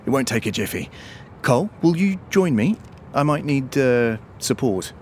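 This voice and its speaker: Actor as a blustering British secret agent, animated. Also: male voice, mid-range voice, normal speed, a little hiss or hum, lightly acted. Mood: falsely agreeable. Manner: blustery, hesitant, repeats himself. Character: scheming, bumbling male British intelligence agent, blustering and patriotic. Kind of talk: comedic audio drama acting.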